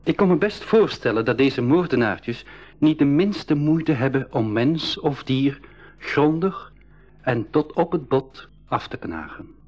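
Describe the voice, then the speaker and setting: Expedition narrator, slightly rough husky voice, inquisitive, medium pitch, scripted radio play. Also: male voice, lively intonation, a little slow, darkish tone, muffled recording. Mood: storytelling. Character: inquisitive male expedition member recounting the adventure.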